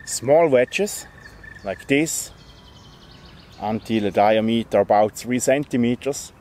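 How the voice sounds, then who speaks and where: Bushcraft instructor, 40s, unhurried friendly explaining, recorded outdoors in a forest with light background noise. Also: male voice, medium pitch, darkish tone. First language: German. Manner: plain, friendly, step-by-step explaining. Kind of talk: outdoor tutorial demonstration.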